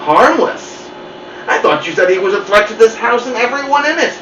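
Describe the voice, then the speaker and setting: Storybook dog, acted by a man: nervous, excitable, protesting, high-leaping pitch, distant roomy recording. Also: normal speed, slight background noise, exaggerated voice. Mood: alarmed, puzzled. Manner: incredulous, protesting, flustered. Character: excitable, nervous, literal-minded family dog. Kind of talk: animated children's story reading. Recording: muffled, roomy, distant mic.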